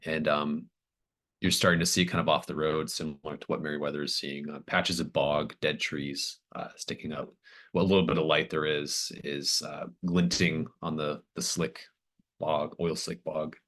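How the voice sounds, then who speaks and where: A man working as game master, improvising horror scene descriptions in a halting, thoughtful way. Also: extremely low pitch, level tone, a bit bright.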